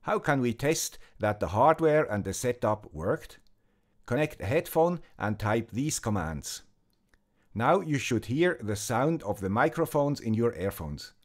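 A man with a Swiss German accent calmly narrating a scripted electronics tutorial, explaining each step in order. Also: medium pitch, unhurried. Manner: calm, methodical, step-by-step explaining. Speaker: electronics video host.

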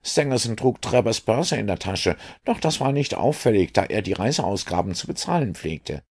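A blunt, skeptical inspector , as a man puts him on, talks in a raised, somewhat strained voice. He lays out facts flatly and with a hint of scorn, in a storybook reading. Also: on the low side, moderate pace, husky, pitched above the performer's own voice. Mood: reporting.

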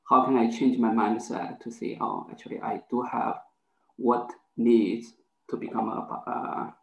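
A man's voice: mid-range voice, vocal fry, reverberant, poor audio. A man whose first language is not English, hesitantly asking a question over a headset on a video call. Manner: hesitant, many fillers. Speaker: audience member asking a question.

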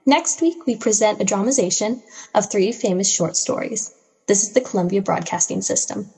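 A woman's voice: medium pitch, moderate pace, mellow, natural voice. A woman playing a formal 1930s network radio announcer with declarative delivery. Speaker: radio announcer in a drama club play. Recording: long reverberant hall echo.

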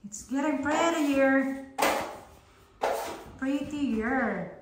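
Woman on her phone showing off a reno job, talks real slow, bare echoey room, some noise behind. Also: sits high. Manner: halting, chatty, cheering on her husband. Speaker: vlogger showing home renovation. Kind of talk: casual home renovation walkthrough. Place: bare room under renovation, indoors. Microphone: phone held while filming.

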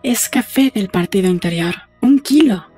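A woman playing a brisk, proud, rebellious young lover in chatty, conspiratorial audiobook dialogue. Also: medium pitch, animated, warm tone, slightly rough, faint music behind. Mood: scornful, proud.

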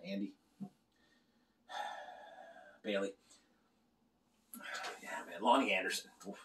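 A middle-aged man rambling and joking through a casual beer review, recorded indoors by a camera across the table. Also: on the low side. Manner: animated, joking, gestures a lot while talking. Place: small room lined with beer shelves, indoors. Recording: roomy, slightly distant sound.

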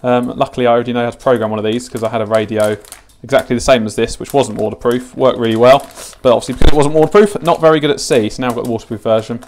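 Young british guy in his 20s, medium pitch, chatty matter of fact unboxing and how-to talk. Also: steady tone, very fast, hardly any pauses, a little mellow, a little hiss or hum. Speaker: fishing channel host demonstrating gear. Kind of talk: casual product unboxing and tutorial. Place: home kitchen, indoors.

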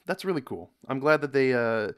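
A man's voice: mid-range voice, lively intonation, moderate pace, smooth. Easygoing young man reacting on camera. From United States. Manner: rambling, repeats words, reads comments aloud. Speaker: reaction video host. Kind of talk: casual reaction commentary. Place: dim room indoors.

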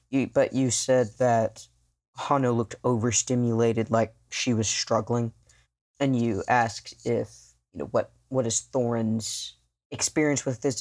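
A man's voice: medium pitch, a little mellow. Thoughtful man with a mid-range voice. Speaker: player. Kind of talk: describing his character's actions in a tabletop game.

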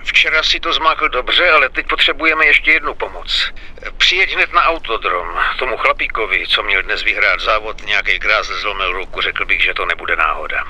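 A man playing a businesslike mobster adviser on a telephone in a video game, brisk and insistent. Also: on the low side, sharp tone, poor audio. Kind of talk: dubbed video game cutscene acting.

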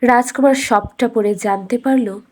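Woman, storyteller, slightly high voice, very slow pace, measured scripted fairy-tale narration. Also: fairly even intonation, a little mellow.

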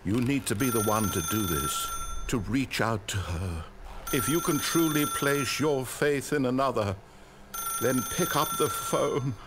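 A man's voice: medium pitch, a little slow, heavy background noise, natural voice. A man with a British accent playing a wry, condescending, controlling narrator in a game, eloquent and theatrical. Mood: earnest, solemn. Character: wry, exasperated, controlling male storyteller narrator. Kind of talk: theatrical scripted storybook narration.

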